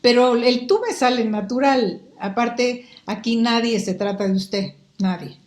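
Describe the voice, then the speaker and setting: An older woman from Guatemala who speaks with a Mexican accent, a guest telling lively stories in a casual interview. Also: fairly high pitch, a little slow, roomy sound. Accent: Mexican Spanish. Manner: animated storyteller, picks up accents.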